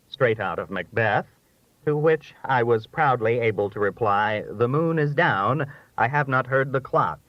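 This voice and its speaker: A man reads a humorous essay aloud as an audiobook narrator. His delivery is measured and expressive, with a dry storytelling touch and a medium pitch. The recording is close and dry, with a slightly dull, lo-fi tone. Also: dry recording. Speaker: audiobook reader.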